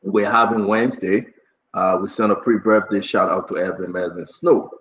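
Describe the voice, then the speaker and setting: A man's voice: lowish voice, steady tone, moderate pace, fairly bright tone. A Liberian man in his forties hosting a casual talk show, speaking animatedly and repeating phrases for emphasis. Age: 40s. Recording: echoey room, slightly dull sound.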